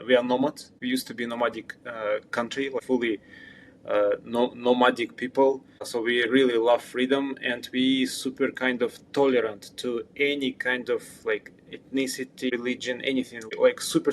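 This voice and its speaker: A man's voice: medium pitch, rough recording. Kazakh-accented man over background noise. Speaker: startup founder, interview guest. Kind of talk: casual interview chat.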